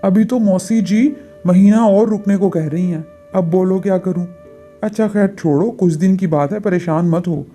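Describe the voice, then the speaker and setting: Woman voicing a mellow, resigned young husband. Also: mid-range voice, moderate pace, faint music behind, lightly acted. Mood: resigned, placating. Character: weary, teasing young husband bracing the family.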